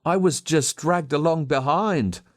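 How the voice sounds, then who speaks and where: An Australian man reading a scripted children's story as narrator, with dramatic, expressive delivery. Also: a little high, a little slow, no room sound, clean studio sound. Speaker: audiobook narrator.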